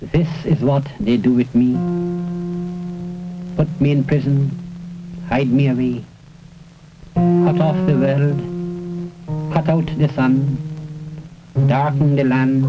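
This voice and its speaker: A man recites political poetry slowly and solemnly, pausing deliberately between short phrases. His delivery is dramatic and declamatory, set to prominent music. The sound is muffled, like an old seventies film soundtrack. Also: medium pitch.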